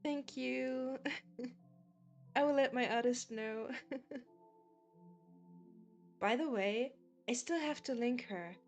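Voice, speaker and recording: A German-accented woman with a fairly high voice chats and giggles with her viewers at a slow, easy pace. Soft music plays faintly behind her. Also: gravelly. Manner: giggly, chatty, often laughs between sentences. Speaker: game streamer. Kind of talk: casual live-stream chat with viewers.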